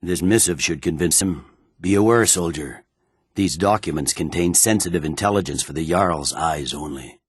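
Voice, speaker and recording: A man's voice: sits low, normal speed, husky, no room sound, studio quality. A man playing a stern, weary imperial general in a video game, speaking with clipped, brusque, formal authority. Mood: stern, businesslike. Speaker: military commander. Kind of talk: scripted video game dialogue.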